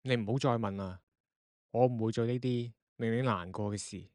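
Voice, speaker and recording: A man's voice: mid-range voice, normal speed, a little mellow, no room sound, clean studio sound, natural voice. A man playing an earnest, guilty, evasive husband in a radio drama. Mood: reassuring, evasive. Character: guilty, evasive husband confessing his secrets. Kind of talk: Cantonese radio drama acting.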